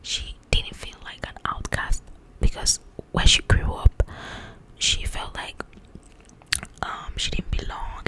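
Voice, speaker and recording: A woman whispering in an ASMR style as she casually chats about a book in a rambling, animated, informal way. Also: low-quality recording. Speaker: ASMR creator reviewing a book.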